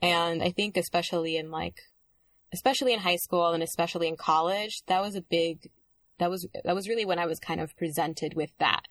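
Young woman, thoughtful and halting, slightly low voice, dry close mic. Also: steady tone, a bit bright, no room sound. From Dominican Republic. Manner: reflective, hesitant, many fillers and restarts. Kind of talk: casual conversation.

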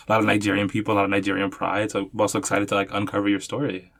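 A man's voice: on the low side, flat delivery, racing, gravelly, a bit bright. A man hosting a podcast, introducing and questioning his guest in a friendly, casual way with lots of "you know" and "like". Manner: friendly, uses many fillers like "you know" and "like". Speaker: podcast host. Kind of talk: casual interview chat.

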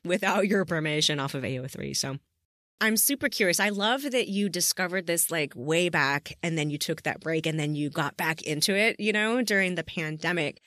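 A woman's voice: on the low side, moderate pace, a bit bright, no room sound, studio quality. A woman hosting a podcast, chatting casually and enthusiastically with a guest as she interviews. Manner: effusive, enthusiastic.

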